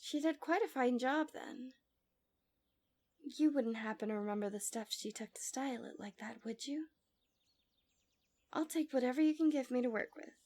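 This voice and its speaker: A woman voicing a gentle, coaxing, subtly manipulative fantasy villain in an intimate ASMR roleplay, soft and breathy, often near a whisper, close to the mic. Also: high-pitched, moderate pace, long pauses. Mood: coaxing, softly probing. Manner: soothing, doting, teasing.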